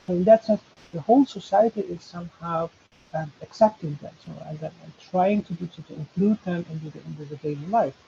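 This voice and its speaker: A man speaks as a professor on a webinar panel. His voice is gravelly and mellow, and his talk is halting and full of fillers and restarts. The video call audio is dull, with a faint hiss behind it. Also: high-pitched. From Turkey.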